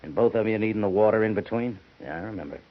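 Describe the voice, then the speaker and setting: An actor playing a persistent commanding major with a husky voice in a 1950s radio drama. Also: male voice, lowish voice, quick pace, lightly acted. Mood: probing. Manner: persistent, questioning. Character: probing fort major, firm commanding officer. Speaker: army major. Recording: muffled 1950s radio broadcast.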